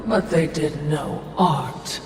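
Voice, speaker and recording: Slow, wry spirit servant. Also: female voice, sits low, noisy background. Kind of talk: dramatic fantasy game acting. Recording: distant echoey hall, music behind.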